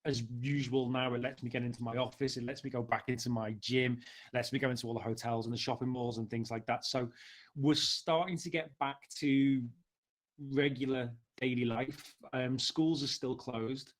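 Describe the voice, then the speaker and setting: A man with a Liverpool accent speaks as a guest in a casual interview. His voice is mid-pitched, and he talks in a conversational way. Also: fairly even intonation, normal speed. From Liverpool, England. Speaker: interview guest, marketing director.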